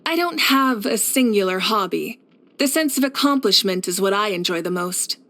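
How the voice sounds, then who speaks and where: A woman playing a confident, warm young warrior leader in a video game, speaking in a poised, measured, earnest way. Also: mid-range voice, animated. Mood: thoughtful. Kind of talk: scripted video game character voice lines.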